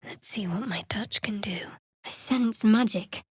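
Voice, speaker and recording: A woman voicing a guarded, sardonic young cleric of a dark goddess in a video game, with a dry, measured, clipped delivery. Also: mid-range voice, husky, no echo. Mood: hushed. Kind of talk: video game voice acting, companion dialogue and battle barks.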